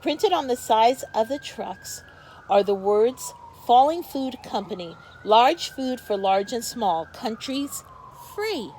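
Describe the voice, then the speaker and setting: Lady teacher doing storytime for little kids, kinda high voice, expressive reading, some noise in the background. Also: slow pace, poor audio. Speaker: teacher reading a picture book aloud to pupils. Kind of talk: read-aloud storytelling for young children.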